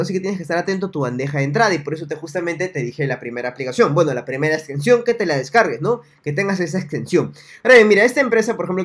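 A young man in a small room, quickly pitching a way to earn money online in a repetitive, salesy tutorial straight to the viewer. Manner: fast, salesy, repetitive, addresses viewer directly. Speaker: online money-making vlogger. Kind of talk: screen-recorded tutorial with webcam.

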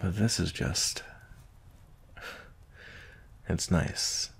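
A man plays a male werewolf bounty hunter in an intimate ASMR roleplay. His voice is extremely deep with a gravelly fry, and he takes his time. He sounds gruff but tender, talking softly and gently with hesitant pauses. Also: a little hiss or hum, heavily acted. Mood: gentle, soothing. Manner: slow, gentle, soft-spoken, hesitant pauses.

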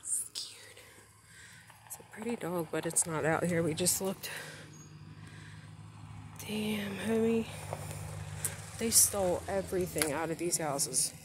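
A woman, narrating a casual handheld vlog outdoors in the desert, offhand, cursing now and then. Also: fairly low pitch. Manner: slow, offhand, cursing and laughing. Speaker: vlogger exploring. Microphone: phone camera held by the speaker. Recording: outdoor phone audio with clear wind noise.

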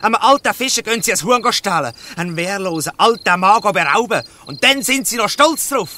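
A man's voice: high voice, animated, fairly quick, full character voice. A man playing a brave, reproachful homeless boy in a Swiss German audio drama. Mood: indignant. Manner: reproachful, forceful. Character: indignant, brave homeless boy, new gang member. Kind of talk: dialect audio drama acting.

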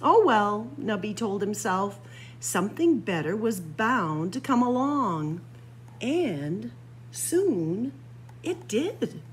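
A woman reading a children's picture book aloud expressively, with dramatic pauses and drawn-out emphasis. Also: medium pitch, sing-song, slight background noise. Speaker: library story-time reader. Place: library room with bookshelves.

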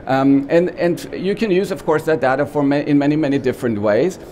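A man in his forties from Austria, with an Austrian German accent, giving a lively, joking trade-show talk full of fillers and restarts, on a small expo stage. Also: fairly high pitch, fairly quick, a little hiss or hum. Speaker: company CEO giving a conference talk.

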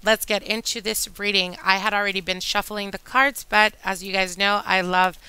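Woman tarot reader, slightly hoarse, crisp bright tone, dry close sound, chatty. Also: medium pitch, steady tone, normal speed, no room sound, low-quality recording. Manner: chatty, warm address to viewers, whispers during shuffling. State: slightly hoarse from a long day. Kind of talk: casual tarot reading monologue. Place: indoor table with candles and decor.

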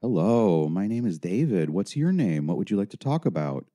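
A man's voice: deep voice, very animated, normal speed, warm tone. Man playing David, melodramatic helpline counsellor. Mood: gentle, polite. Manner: melodramatic, overly conversational. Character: David, conversational male crisis-line counsellor.

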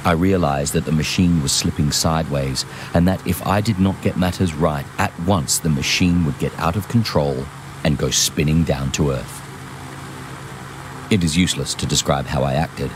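Very deep-voiced man narrating a memoir. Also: moderate pace, background noise. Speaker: narrator reading an aviator's memoir. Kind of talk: scripted first-person audiobook-style narration. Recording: music behind the voice. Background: music.

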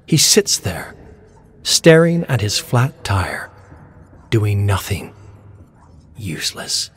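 A man reading game lore as dramatic scripted narration, in a deliberate storytelling manner with dramatic pauses. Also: lowish voice, sing-song, soft background music.

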